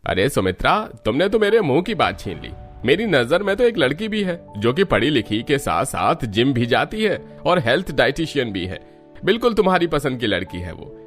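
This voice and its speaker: A man's voice: medium pitch, big pitch swings, moderate pace, a bit bright, pitched above the performer's own voice. A man voicing an agreeable middle-aged village husband in a cartoon family drama, chatty and eager. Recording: music behind the voice. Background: music.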